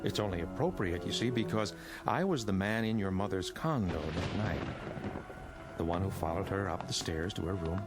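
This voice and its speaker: An actor playing a menacing, courteous killer in a radio drama, unhurried and falsely polite as he toys with his victim. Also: low voice, over loud music, natural voice. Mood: coolly menacing.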